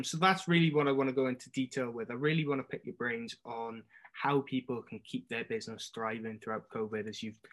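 Man, podcast host, interviewing, upbeat, friendly, mid pitch. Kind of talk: interviewing a guest.

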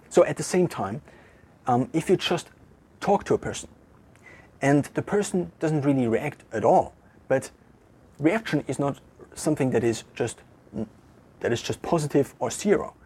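Man, German accent, very husky voice, unhurried reflective monologue, halting restarts. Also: medium pitch, animated, darkish tone. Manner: thinking aloud, halting with restarts and self-corrections. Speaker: vlogger. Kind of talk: casual reflective monologue to camera. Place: empty room.

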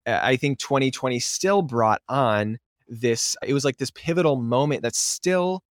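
A young man talking casually as a podcast guest, animated and excitable, peppering his talk with fillers and laughs. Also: medium pitch, normal speed, no room sound, studio quality. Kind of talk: casual conversational interview.

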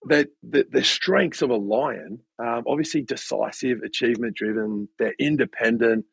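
A man's voice: mid-range voice, normal speed, gravelly, breathy edge, no room sound. A man on a casual podcast who mentors students and athletes and explains things steadily, often restarting his sentences.

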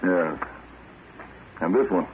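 An actor playing a calm, commanding counterspy chief in an old-time radio drama, with a terse, measured delivery. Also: male voice, deep voice, phone-quality sound. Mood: grave. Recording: scratchy, band-limited old radio recording.

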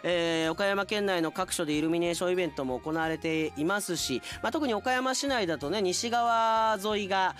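A man's voice: high voice, normal speed, hardly any pauses, fairly bright tone, soft background music. A man hosting a radio show, chatting casually with conversational asides as he reads out listener mail. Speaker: radio announcer and show host.

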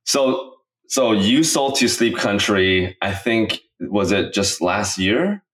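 A man's voice: on the low side, expressive, takes it slowly, a bit bright. A man hosting a podcast in an informal, enthusiastic way, talking straight to his listeners. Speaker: podcast host. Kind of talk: upbeat podcast intro and casual interview.

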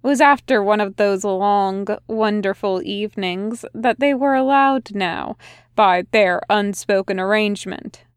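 A woman reading a fan fiction story aloud in a soft, unhurried narration. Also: medium pitch, clean tone, no echo, studio quality. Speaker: podfic reader.

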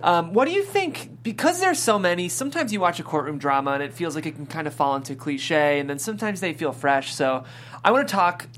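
A woman's voice: lowish voice, animated, a little fast, a little hiss or hum. A woman hosting a podcast, asking questions in a casual interviewing style. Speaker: podcast host.

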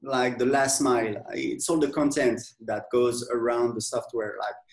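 A man with a French accent, a software company owner speaking in a casual interview, hesitant, with many fillers and restarts. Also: medium pitch, steady tone, moderate pace, roomy sound. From France.

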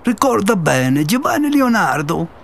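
A man's voice: high voice, big pitch swings, measured pace, creaky, a little hiss or hum, full character voice. An actor playing an exacting, expansive Italian head chef, emphatic and slipping Italian into his Spanish with an Italian accent. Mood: stern, instructive. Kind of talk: radio drama acting.

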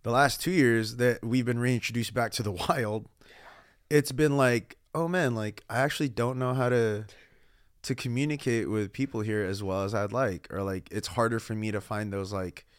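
A man's voice: medium pitch, normal speed, clean tone, no room sound, clean studio sound. A man in his thirties from Guam and California, telling stories in casual, slangy podcast chat as a guest. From Guam and California, born in the Philippines. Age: 30s. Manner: slangy, animated storytelling with quoted asides.

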